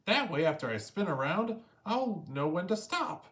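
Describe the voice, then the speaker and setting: Man playing young boy fish, upbeat, helpful, raised pitch, storybook sidekick. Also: sing-song, normal speed, a bit bright. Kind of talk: children's storybook read-aloud.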